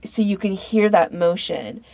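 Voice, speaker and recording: A woman on a podcast panel chatting casually in an informal, halting way, as if thinking aloud. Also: mid-range voice, lively intonation, gravelly, a little mellow, no echo, rough recording. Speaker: podcast panellist recommending fan audio fiction. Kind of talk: casual chat.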